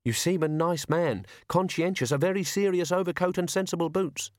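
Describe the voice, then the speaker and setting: A man's voice: high voice, moderate pace, no room sound, clean studio sound, pitched above the performer's own voice, close to an everyday voice. A man voicing a polite, menacing young upper-class killer in an audiobook. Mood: silky menace. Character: genial, menacing young male toff assassin.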